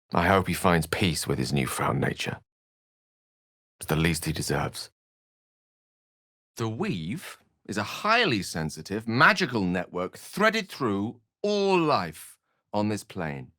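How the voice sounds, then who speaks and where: A man's voice: fairly low pitch, fairly bright tone, dry recording, clean studio sound. A male actor playing an erudite, wry wizard in a fantasy video game, articulate and theatrical, with a British accent and a streak of melancholy. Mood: subdued, regretful, then scholarly, musing.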